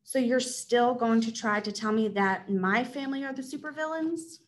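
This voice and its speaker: Lady as a stubborn kid hero in a story, earnest, suspicious, highish voice. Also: moderate pace, natural voice. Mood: earnest, challenging. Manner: earnest, challenging.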